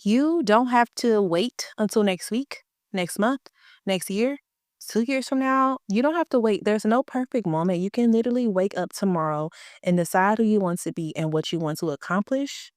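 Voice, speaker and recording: A woman's voice: mid-range voice, normal speed, a little mellow, no echo, clean studio sound. Stuffy-voiced woman podcast host, chatty. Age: 30s. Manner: chatty, emphatic, slangy. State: stuffy from a cold. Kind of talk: casual motivational podcast talk.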